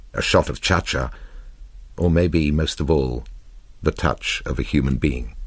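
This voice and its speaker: Male narrator for literary fiction: low, slightly husky voice, measured and expressive, with deliberate pauses. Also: no room sound, studio quality. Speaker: narrator reading a short story.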